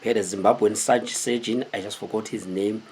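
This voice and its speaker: A South African man in his thirties tells stories casually to the camera in an animated, conversational way, often putting questions to his viewers. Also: lowish voice, fairly quick, slight background noise. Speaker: host telling stories of his military service. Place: small indoor room with cloth backdrop.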